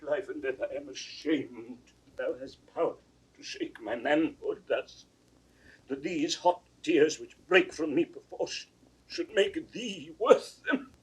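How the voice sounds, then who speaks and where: Slow, thundering proud old king. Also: a little high, slightly rough, recorded from a distance, full character voice, rough recording. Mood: wounded, brooding. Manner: grand, slow, declamatory, swinging from hushed to roaring. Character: aged king, proud, raging at his daughter. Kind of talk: 1960s classical Shakespeare audio drama acting.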